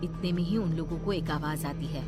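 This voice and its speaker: A woman's voice: low voice, a little fast. A woman narrator giving a scripted, dramatic reading of a story. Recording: loud background music.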